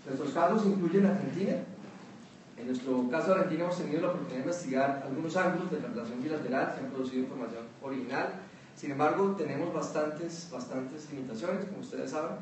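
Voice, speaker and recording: A Colombian man with a mild, fairly neutral accent presents research at a panel, measured and hesitant, often pausing with fillers. His voice is dark and mellow, picked up from a distance. The big hall echoes heavily around him over a faint hum of room noise. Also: a little high. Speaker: panelist at an academic round table. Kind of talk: academic conference talk. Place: conference hall.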